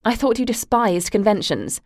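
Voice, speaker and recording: A woman's voice: a little high, lively intonation, moderate pace, a little mellow, no echo, studio quality, pitched above the performer's own voice, natural voice. A woman voicing a sweetly malicious middle-aged mother, cutting and sugary. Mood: sweetly mocking.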